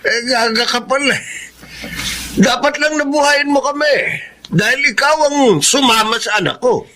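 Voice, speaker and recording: A man's voice: extremely high pitch, normal speed, sharp tone. An actor playing a grumpy, scornful old father-in-law in a Filipino radio drama, complaining and scolding. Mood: scornful, angry.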